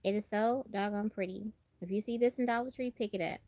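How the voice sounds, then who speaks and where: A woman's voice: mid-range voice, fairly even intonation, a little fast, dark tone, no echo. A woman from Brooklyn with an American, country Southern-tinged accent, chatting casually and expressively as a livestream host, repeating phrases for emphasis. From Brooklyn, New York. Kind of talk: casual chat.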